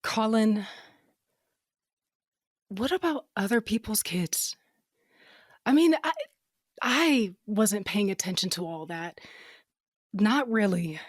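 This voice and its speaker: Unhurried, earnest mother. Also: medium pitch, no room sound, studio quality. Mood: worried. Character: worried, caring mother held in a fantasy jail. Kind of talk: naturalistic audio drama acting.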